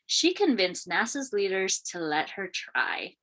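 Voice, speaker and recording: A woman reading a children's picture book aloud in an expressive, deliberate storytelling manner. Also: mid-range voice, noisy background. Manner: expressive, questioning lifts and deliberate phrasing. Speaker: read-aloud storyteller, teacher.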